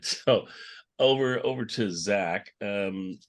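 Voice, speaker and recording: A man's voice: on the low side, slow pace. A man hosting a podcast panel in a casual, chatty style. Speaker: podcast host. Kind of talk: casual chat.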